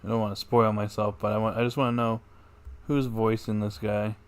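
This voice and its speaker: A man's voice: on the low side, little pitch movement, a little fast, clear, resonant tone. A man giving casual livestream commentary on a game, musing aloud in an offhand way.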